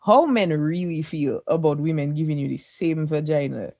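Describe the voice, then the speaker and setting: A woman's voice: low-pitched, moderate pace, darkish tone, no echo, clean studio sound. A woman with a Caribbean accent chatting casually and outspokenly as a podcast host in playful group banter.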